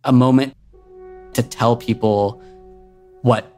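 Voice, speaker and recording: A man's voice: medium pitch, fairly even intonation, slow, a little mellow. Man chatting reflectively over faint music. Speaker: interviewee. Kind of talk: casual interview chat.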